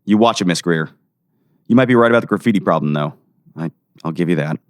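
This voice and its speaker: A man's voice: low-pitched, fast talker, no room sound, studio quality, natural voice. A man playing a weary small-town sheriff in an audio drama, folksy, patronizing and dismissive. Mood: grumbling. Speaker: voice actor.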